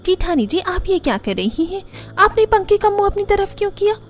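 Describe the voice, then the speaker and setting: A woman's voice: very high voice, big pitch swings, brisk, slight background noise. A woman voicing a young, whiny and indignant daughter-in-law in a cartoon story, complaining dramatically. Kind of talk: Hindi cartoon story dialogue. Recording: music under the voice.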